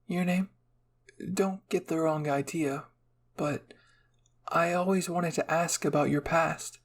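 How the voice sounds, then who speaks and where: A man voicing a kind, caring young woman soldier in a story roleplay, speaking gently and with sympathy. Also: fairly high pitch, fairly even intonation, takes it slowly. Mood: friendly, curious. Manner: gentle, sympathetic, soft-spoken.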